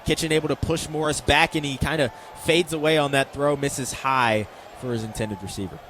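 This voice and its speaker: A man's voice: fairly high pitch, steady tone, a little fast, a bit bright, a little hiss or hum. An American man giving conversational, explanatory color commentary on a live football radio broadcast.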